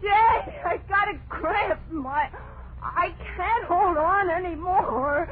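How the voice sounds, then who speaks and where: A woman's voice: very high-pitched, slow. An actress playing a desperate, murderous woman hanging from a noose in melodramatic, gasping 1940s radio horror style. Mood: anguished, sobbing. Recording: tinny, noisy old radio broadcast.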